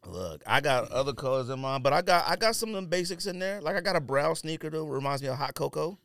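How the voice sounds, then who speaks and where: A man's voice: fairly high pitch, expressive, fast talker, a bit bright, a little hiss or hum. A man co-hosting a podcast in casual banter, talking in a slangy, joking, rambling way. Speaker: podcast co-host.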